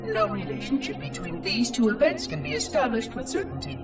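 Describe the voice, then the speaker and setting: An echoing, very high, synthetic voice of an ancient robot caretaker. He speaks in formal, exact, theatrical phrases, fussy and dutiful yet curious and lonely, with sweeping intonation, like a log entry in a sci-fi video game. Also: wildly swooping pitch, a little fast, heavily acted. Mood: solemn, regretful. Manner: precise, formal, theatrical enunciation. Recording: distant, echoing, music underneath. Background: music.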